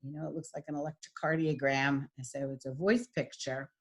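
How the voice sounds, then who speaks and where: A middle-aged woman with a husky voice, giving a recorded lecture as a voice and speech teacher, with frequent fillers. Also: deep voice, steady tone, normal speed. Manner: demonstrates voice types, lots of fillers.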